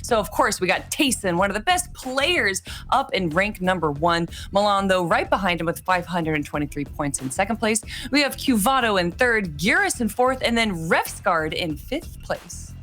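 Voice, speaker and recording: A woman's voice: mid-range voice, sing-song, normal speed, few pauses, a bit bright. A woman presenting a live esports broadcast as host, with a conversational, enthusiastic delivery. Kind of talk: live esports desk commentary. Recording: music playing behind the voice.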